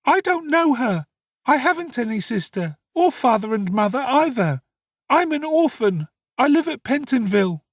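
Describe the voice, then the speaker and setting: A man's voice: very high-pitched, sing-song, moderate pace, dry recording, clean studio sound, voice pushed up, heavily acted. A man voicing a frightened, innocent orphan boy who protests in alarm in a storybook reading. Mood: alarmed, protesting.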